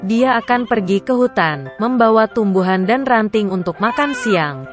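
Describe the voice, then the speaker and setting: Female storyteller, mid-range pitch, calm measured reading, light music bed. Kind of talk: scripted children's story narration.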